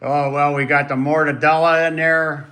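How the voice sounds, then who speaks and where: A man's voice: a little high, flat delivery, normal speed, sharp tone. A man hosting a casual chat to camera in a plain-spoken, opinionated way. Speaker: channel host.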